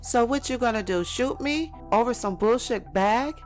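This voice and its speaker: A woman's voice: a little high, normal speed, natural voice. A woman playing a feisty, streetwise mistress in an audio story, blunt and defiant, with music in the background. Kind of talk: dramatic audio story acting.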